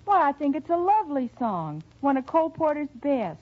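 A woman's voice: high-pitched, sing-song, brisk. A woman speaking scripted banter on a 1940s radio variety show. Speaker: featured singer on radio show. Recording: muffled old radio recording with music.

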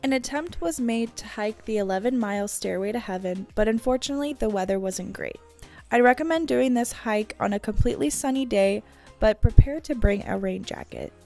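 Female travel narrator, scripted guide read, warm low-brightness tone with gravelly fry, faint music behind. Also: fairly quick.

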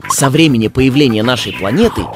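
A man's voice: mid-range voice, animated, a little fast, music in the background, close to an everyday voice. A man playing a playful science explainer, narrating an educational children's cartoon in a didactic, scripted way. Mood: explanatory, playful.

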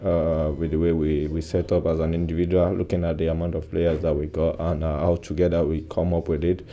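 A young man in his twenties, a footballer giving a soft-spoken post-match interview with many "uh" pauses, recorded indoors close to the mic. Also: extremely low pitch, little pitch movement, highs cut off. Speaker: football player. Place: indoor press backdrop at a stadium.

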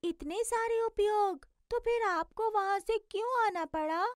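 A woman's voice: very high voice, measured pace, no room sound, clean studio sound. A woman voicing a chirpy, curious little blue bird in an educational cartoon, asking many questions.

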